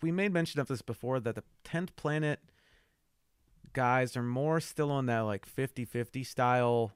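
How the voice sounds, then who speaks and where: A man's voice: medium pitch, normal speed, smooth, dry recording. A man co-hosting a podcast in casual chat, swearing casually and teasing, recorded on a close mic. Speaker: podcast co-host.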